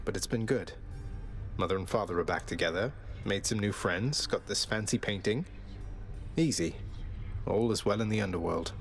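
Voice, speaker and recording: An actor playing a young prince of the underworld in a video game, wry, casual and courteous. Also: male voice, fairly low pitch, moderate pace, faint music behind, lightly acted. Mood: thoughtful, easygoing.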